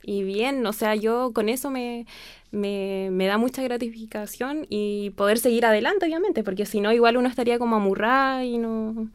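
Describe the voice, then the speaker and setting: A woman's voice: a little high, normal speed, smooth, no room sound. A young woman with a southern Chilean accent, a student guest chatting informally in an interview, rambling and repeating phrases. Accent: southern Chilean Spanish. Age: young adult. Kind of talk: casual spoken interview.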